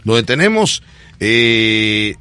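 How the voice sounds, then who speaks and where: A man's voice: on the low side, big pitch swings, extremely slow, pushed and bright, clear, resonant tone. A man, hosting a morning radio show in playful, teasing banter. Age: 40s. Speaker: radio host.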